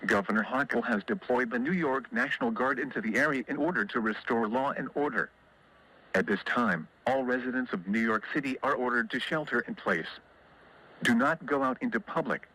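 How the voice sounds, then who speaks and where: A man's voice: mid-range voice, fairly quick, sharp tone, low-quality recording. A man formally reading a scripted emergency alert as an announcer.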